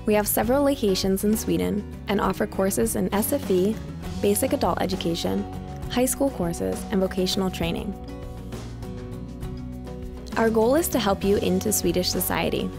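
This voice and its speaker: A woman reading a scripted informational voice-over in an even, measured way. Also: mid-range voice, gravelly, music in the background. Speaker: voice-over narrator and interpreter.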